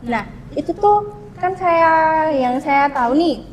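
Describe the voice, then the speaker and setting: A woman's voice: high-pitched, expressive, takes it slowly, muffled recording, a little hiss or hum. A woman hosting a casual promotional interview, chatty and often addressing the audience directly. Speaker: talk show host interviewing a film cast.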